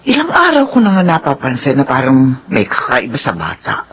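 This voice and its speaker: An actress playing a worried, caring grandmother with a husky voice in a Filipino radio drama. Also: rumbling low, huge pitch swings, normal speed, fairly bright tone, dull audio, full character voice. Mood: hushed concern.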